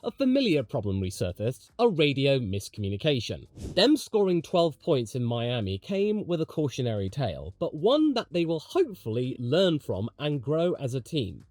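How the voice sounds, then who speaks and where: A man's voice: mid-range voice, very animated, moderate pace, hardly any pauses, darkish tone, no room sound. A man delivering scripted motorsport commentary in an emphatic, dramatic way, as the host of a video essay.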